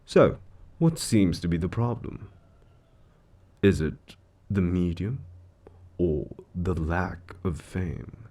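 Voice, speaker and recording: A man's voice: sits low, expressive, slow, pauses often, warm tone, heavily acted. A man voicing a suave, gloating devil lord in an audio roleplay, theatrical and condescending, savoring his words as he toys with a mortal. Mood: mocking sympathy. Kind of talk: scripted audio roleplay monologue.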